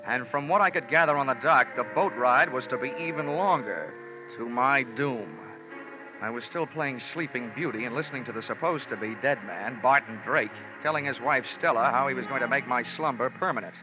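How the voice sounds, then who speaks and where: A man's voice: medium pitch, brisk, natural voice. An actor narrating as a wry, hard-boiled male insurance investigator in a 1950s radio drama. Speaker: lead detective and narrator. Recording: dull old radio recording with music.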